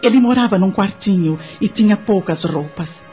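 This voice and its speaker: An actress playing a grateful, remorseful widowed mother, narrating her life in a confiding, measured way for a radio drama. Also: mid-range voice, mellow, faint music behind, lightly acted. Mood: reflective, confiding.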